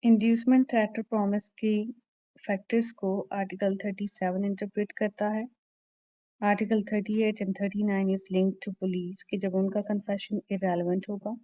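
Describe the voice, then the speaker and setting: A woman's voice: mid-range voice, little pitch movement, a little slow, dark tone, dry recording, rough recording. A woman with a Pakistani accent giving an explanatory lecture, methodically repeating and rephrasing each point as a teacher does. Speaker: law lecturer. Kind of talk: explanatory lecture, switching between Urdu and English.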